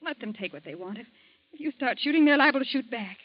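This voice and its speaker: An actress playing an anxious, loyal young wife in a 1940s radio drama, pleading in a fretful, breathless way. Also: female voice, high-pitched, expressive, fast talker, no room sound. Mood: worried. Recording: dull old radio recording.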